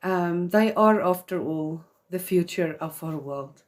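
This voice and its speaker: A woman's voice: fairly low pitch, takes it slowly. A woman whose first language is Afrikaans, speaking English with a South African accent as she hosts a live video for mothers in an earnest, chatty way with frequent fillers. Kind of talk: live-stream talk to viewers.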